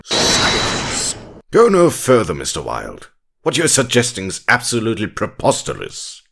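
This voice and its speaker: A sinister rat prosecutor in an audio drama. The voice is very low and sharp, swinging widely in pitch. He is pompous, theatrical and quick to scorn, with a gravelly edge. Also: wildly swooping pitch, soft background music, exaggerated voice. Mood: outraged. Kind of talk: audio drama character acting.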